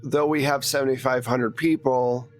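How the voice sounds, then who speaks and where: A man playing a puzzled, candid small-town morgue worker in a tabletop game, explaining things casually and haltingly. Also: medium pitch, fairly even intonation, soft background music, lightly acted. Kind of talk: improvised tabletop roleplaying dialogue.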